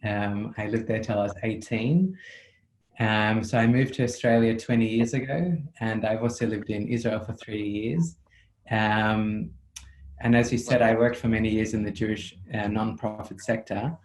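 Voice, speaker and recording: South African male therapist, level low delivery, measured and reflective, video call audio. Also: fairly even intonation, a little mellow, slight background noise, rough recording. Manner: measured, reflective, with frequent ums. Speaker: psychotherapist. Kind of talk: thoughtful interview answers.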